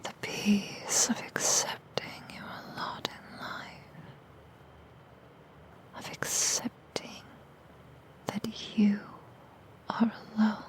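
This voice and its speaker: A woman playing a seductive female demon in an ASMR roleplay, coaxing the listener in a breathy whisper with soothing, insidious persuasion. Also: medium pitch, completely flat delivery, extremely slow, pauses often, poor audio. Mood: possessive, soothing. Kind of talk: ASMR roleplay, intimate monologue.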